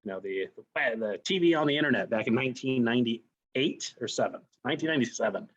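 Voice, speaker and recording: A man in his forties from the United States, a guest on a call, telling his own story casually in a halting, self-deprecating way. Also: medium pitch, animated. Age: 40s. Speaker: company president, guest speaker.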